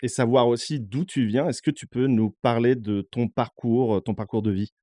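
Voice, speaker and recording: Relaxed podcast host from northern France. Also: male voice, medium pitch, moderate pace, no echo, studio quality. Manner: relaxed, filler-heavy interviewer. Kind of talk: casual interview.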